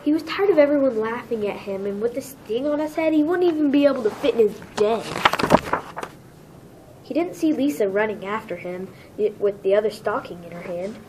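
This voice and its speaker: A woman's voice: fairly high pitch, a little fast, background noise. A woman narrating a children's storybook, reading it aloud.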